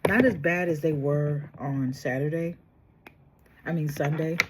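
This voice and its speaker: A woman vlogger chatting casually through a makeup tutorial, soft-spoken and trying to project with a sore, strained throat. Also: sits low, fairly even intonation, unhurried, noisy background. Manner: chatty, addresses viewers directly, trails off and restarts. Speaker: beauty vlogger.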